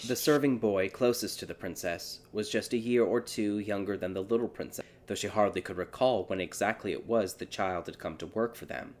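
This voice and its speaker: A man giving a theatrical, scripted narration of a dark fairy tale as an audiobook. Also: fairly low pitch, noisy background. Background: music.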